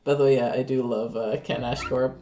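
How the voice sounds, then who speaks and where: A woman giving casual reaction commentary as a host, with loud music behind her. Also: extremely low pitch, steady tone, a little fast.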